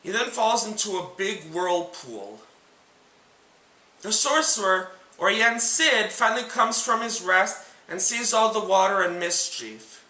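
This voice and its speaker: A man with a very bright, sharp, high-pitched voice hosts a scripted comparison piece. He speaks deliberately, enunciating each phrase like a presenter. The recording has a noticeably echoey room sound. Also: lively intonation. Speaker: narrator and host. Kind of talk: scripted book and film comparison narration.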